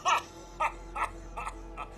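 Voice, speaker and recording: A man's voice: extremely slow, pushed and bright, faint music behind, heavily acted. A male actor playing a formal, fretful robot butler in a video game, with a theatrical British accent. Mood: nervously cheerful. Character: fussy, devoted, emotional robot butler. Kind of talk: video game character acting.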